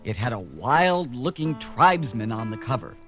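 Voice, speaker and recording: A man reads a scripted children's tale as narrator. His voice is medium in pitch and highly expressive in intonation. Faint music plays underneath. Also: normal speed.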